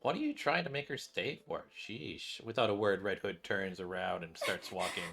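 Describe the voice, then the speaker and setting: A man's voice: on the low side, moderate pace, gravelly. A man hosting a gaming video, commenting casually and reading dialogue aloud. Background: faint game music.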